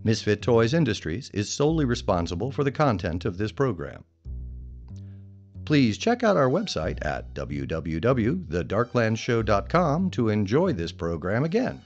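Credits announcer: man, formal dramatic read, low-leaning pitch, faint music behind. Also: sing-song, normal speed. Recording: dull audio under faint music.

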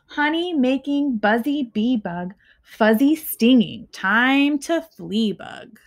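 A young woman reads aloud for children in a fairly high voice. She goes very slowly, setting off each short phrase with a pause and clear emphasis. It is an expressive storytime delivery, recorded in a small living room at home. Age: young adult. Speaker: teacher reading a picture book to children.

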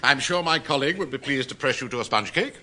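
An actor playing a bumbling middle-aged civil servant in a radio comedy, genteel, fussy and deferential, with a British accent. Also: male voice, medium pitch, lively intonation, fast talker, lightly acted. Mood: politely nervous. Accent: British English. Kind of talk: 1960s radio situation comedy acting. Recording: slightly noisy vintage radio recording.

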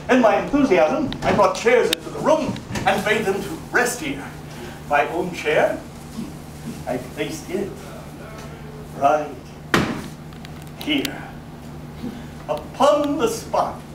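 A man's voice: high voice, full character voice. Cunning gleeful madman, gothic horror stage recitation, emphatic, theatrical, distant mic, noisy small-room recording. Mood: triumphant, uneasy. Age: older. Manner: melodramatic, emphatic, deliberate phrasing with sudden swells. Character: gleeful mad murderer, male, boastful, cunning, nervy. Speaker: actor giving a dramatic reading. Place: small brick-walled cafe room, indoors. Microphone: camera a few metres away. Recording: echoey, noisy handheld camera from audience.

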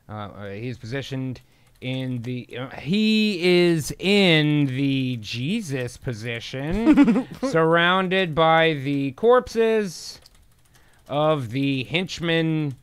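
A man's voice: on the high side, clear, resonant tone, no echo. A young man hosting and narrating, switching between dramatic script reading and casual sweary chat, close on a studio mic. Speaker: host and narrator. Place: small home studio. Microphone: boom-arm studio mic and headset.

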